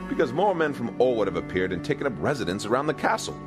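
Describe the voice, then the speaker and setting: A man's voice: mid-range voice, big pitch swings, fast talker, music in the background. A man playing a pompous, dim-witted, stubborn king in a comedic audio drama, speaking in blustering, formal phrases. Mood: defensive. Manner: pompous, blustering, formal diction without contractions.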